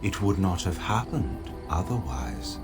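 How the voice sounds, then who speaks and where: A dignified, solemn leader. His low voice moves expressively through formal, oratorical phrases, game dialogue set over clear music. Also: sing-song, measured pace, exaggerated voice. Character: solemn, dignified male leader pledging military aid.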